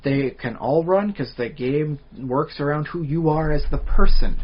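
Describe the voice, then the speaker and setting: A man co-hosting a podcast in a casual, animated chat. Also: fairly high pitch, unhurried, breathy edge. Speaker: podcast co-host. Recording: dull lo-fi podcast audio.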